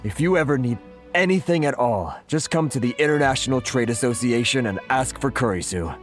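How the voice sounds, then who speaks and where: A man's voice: mid-range voice, lively intonation, a little fast, soft background music, close to an everyday voice. A man voicing a humble grateful merchant. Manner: earnest, hesitant, thankful. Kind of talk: video game dialogue.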